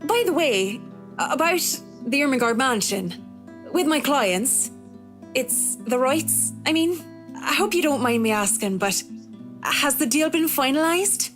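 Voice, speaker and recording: An actress playing a polite, gently probing professional woman in a visual novel game. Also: high-pitched, animated, unhurried, soft background music. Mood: polite, inquiring.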